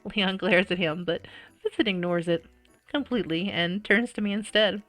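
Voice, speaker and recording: A woman narrating in first person as a flustered, anxious high schooler in a visual novel. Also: normal speed, a bit bright, no echo, faint music behind, lightly acted. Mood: tense.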